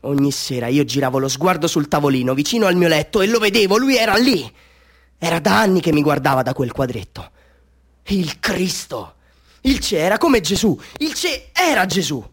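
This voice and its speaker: An actor playing an excitable, self-doubting amateur photographer telling his story in a theatrical radio monologue, moving between confiding and fervent delivery. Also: a little high, moderate pace, husky, full character voice. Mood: fervent revelation.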